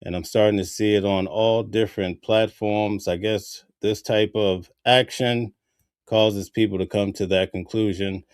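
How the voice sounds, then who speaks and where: A man hosting a live news stream, talking casually and reading viewer comments aloud. His voice sits low and sounds smooth and resonant. The sound is close and dry. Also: steady tone, a little slow, no echo. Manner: reads viewer comments aloud, loose and conversational. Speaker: livestream host.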